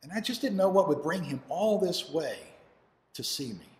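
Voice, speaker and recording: A man acting a devoted, grieving father in a dramatic monologue, telling of his lost son haltingly and earnestly, with dramatic pauses. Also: on the high side, big pitch swings. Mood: quiet, pained. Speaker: actor performing an audition monologue. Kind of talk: dramatic first-person monologue. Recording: echoey hall with long reverb.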